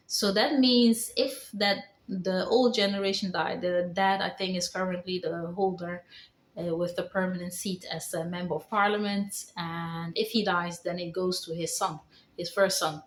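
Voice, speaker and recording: Woman vlogger, light Dutch accent, lowish voice, halting and earnest, echoey room. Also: fairly even intonation. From Netherlands. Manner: earnest, halting, with many pauses and fillers. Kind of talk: personal storytelling vlog.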